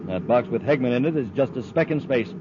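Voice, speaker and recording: An actor playing a scheming, smug space villain in a sly manner, 1950s radio serial style. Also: male voice, medium pitch, expressive, fast talker, lightly acted. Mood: smug, taunting. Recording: muffled, noisy 1950s radio recording.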